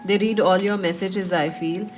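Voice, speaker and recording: A woman's voice: mid-range voice, steady tone, moderate pace, faint music behind. A woman with a cold and a slightly nasal voice, giving a chatty tarot reading to her viewers with many fillers. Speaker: tarot reader.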